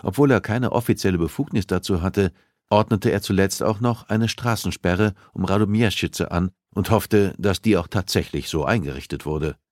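A man's voice: sits low, moderate pace, darkish tone, no room sound, studio quality. A man reading a thriller novel aloud as scripted audiobook narration.

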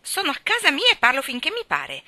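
Woman putting on a shrill, taunting little girl. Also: huge pitch swings, brisk, sharp tone, no echo, heavily acted. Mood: indignant, scornful. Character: spiteful, gleeful little girl twin, mocking echo.

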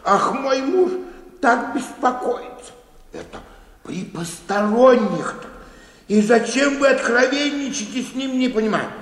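An actor playing a sour, fussy elderly bookkeeper in a radio play, grumbling and halting as he repeats himself. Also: extremely high pitch, animated. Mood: sour, reproachful. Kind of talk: theatrical radio play acting. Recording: echoey hall, long reverb.

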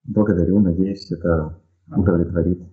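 A man from Ukraine answers listener questions on a live radio broadcast. His voice sits very low and comes through a narrow, phone-like line. He talks in a measured way, pausing often with fillers. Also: fairly even intonation. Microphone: headphones with headset audio.

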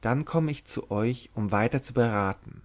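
A man's voice: medium pitch, moderate pace, lightly acted. A man reading a storybook, voicing a bold young witch-maiden who is sly, confiding and commanding. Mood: brisk, conspiratorial. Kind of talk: dramatic literary audiobook reading.

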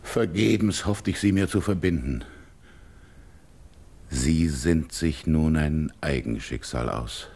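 A brooding, commanding king in a classical radio drama. His voice sits very low and he declaims verse at a slow pace, full of wounded anger. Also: darkish tone, full character voice. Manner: declamatory, measured verse delivery.

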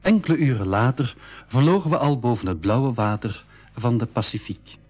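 A man's voice: medium pitch, expressive, moderate pace, over music, close to an everyday voice. A man playing a storyteller who narrates his own adventures in a scripted school radio play. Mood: calm narrating. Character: male storyteller recalling his jungle adventures. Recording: dull, lo-fi 1980s radio recording.

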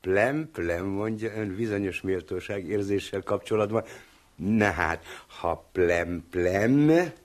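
A man's voice: fairly low pitch, lightly acted. An actor playing a blustering male coffeehouse chess rival in a comic radio sketch, teasing and full of stammered puns. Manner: teasing, stammering wordplay, theatrical.

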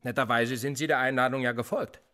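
An actor playing a cynical, sly police interrogator in a radio play, with an insinuating, coolly threatening manner. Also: male voice, medium pitch, fairly even intonation, quick pace, sharp tone, close to an everyday voice. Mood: sardonic, probing. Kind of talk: naturalistic German radio play acting.

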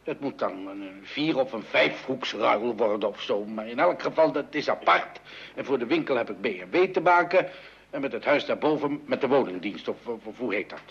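A dull old radio recording carries a middle-aged family father. His creaky voice grumbles and hesitates, quick to sound flustered and indignant in a comedy style. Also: medium pitch, animated. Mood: flustered, weary. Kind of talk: mid-century Dutch radio comedy acting.

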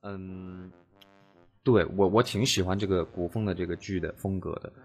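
A man's voice: low voice, fairly even intonation, normal speed, faint music behind. A man chatting casually as a voice actor guest, joking and rambling as he goes. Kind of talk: casual chat.

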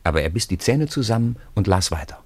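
Low, husky male storyteller. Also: sing-song, fast, a little mellow, dry recording. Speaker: narrator. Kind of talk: scripted storytelling narration for a radio play.

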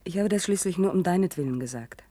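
A woman's voice: on the low side, animated, fast talker, warm tone, dry recording. An actress playing a sensible young woman who admonishes her partner in a German science-fiction radio drama. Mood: reproachful, urgent. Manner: admonishing, pragmatic. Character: sensible, cautioning young woman, dissident's girlfriend.

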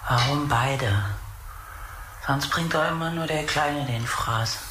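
An actor playing a starved, bitter, crude captive in a German radio drama, muttering in broken phrases, often half-whispered. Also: male voice, mid-range voice, slow, natural voice. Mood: disgusted, muttering. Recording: roomy echo, loud noise and clicks.

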